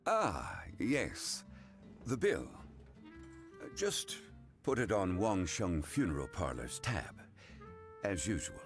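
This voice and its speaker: An actor playing a composed, courteous, scholarly gentleman in a video game, speaking in a measured, formal, instructive way. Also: deep voice, wildly swooping pitch, over music, full character voice. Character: composed, courteous, scholarly old-fashioned gentleman of refined taste.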